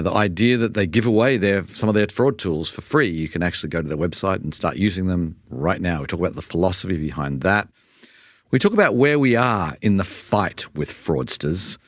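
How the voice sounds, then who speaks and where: A man hosting an interview podcast, introducing his guest in a measured, friendly way and listing what they discuss. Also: deep voice, dry recording, clean studio sound. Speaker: podcast host.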